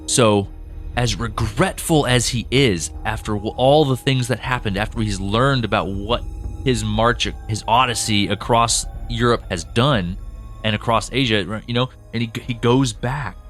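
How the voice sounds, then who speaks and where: A man's voice: mid-range voice, unhurried, a bit bright, faint music behind. A man co-hosting a podcast, chatting casually about a story's characters, laughing often and restarting sentences. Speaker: podcast co-host. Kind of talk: casual behind-the-scenes discussion.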